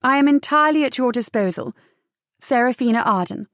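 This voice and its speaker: A woman's voice: fairly high pitch, lively intonation, fairly quick, a bit bright, no room sound, clean studio sound. A woman playing a bold, eloquent female writer in an audiobook, reading out a letter with formal poise. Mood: poised, courteous.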